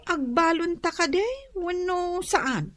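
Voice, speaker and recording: A woman's voice: very high-pitched, takes it slowly, no room sound. A woman with an Ilocano accent playing a polite young nursing student niece who cleans for work, in a radio drama. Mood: casual.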